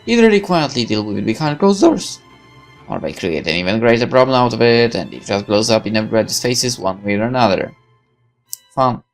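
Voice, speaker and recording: A man reading a visual novel's narration aloud in a wry, measured storytelling way. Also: mid-range voice, very animated, faint music behind. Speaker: gaming video narrator.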